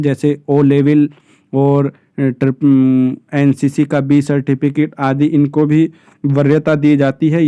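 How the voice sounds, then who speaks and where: A man presenting an informational explainer, reading official details in a measured, formal way and explaining them plainly. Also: on the high side, warm tone, clear, resonant tone, no room sound, lo-fi sound. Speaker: exam-prep presenter explaining job notices.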